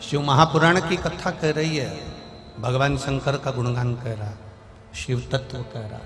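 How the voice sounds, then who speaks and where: Indian preacher in a reverberant hall. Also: male voice, medium pitch, a little slow. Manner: rises and falls, urges the crowd to answer back. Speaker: preacher telling a Shiva scripture story. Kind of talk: devotional sermon to a large crowd. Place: large venue with a crowd and speakers. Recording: live sermon in a long reverberant hall with music behind.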